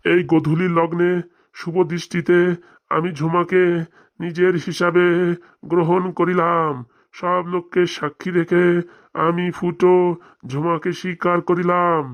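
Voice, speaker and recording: Man playing a sing-song, sly village priest, flat-toned. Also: high voice, unhurried, pauses often, highs cut off. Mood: solemn officiating. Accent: Bengali, rural dialect. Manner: sing-song mock-solemn chanting. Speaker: wedding priest. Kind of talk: comic cartoon voice acting with mock mantra chanting.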